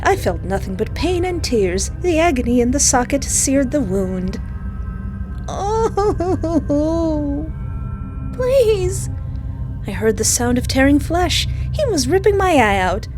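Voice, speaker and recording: High-voiced woman narrating a visual novel. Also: moderate pace, hardly any pauses, music in the background. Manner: theatrical, expressive. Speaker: game player reading a visual novel aloud. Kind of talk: dramatic narration with casual asides.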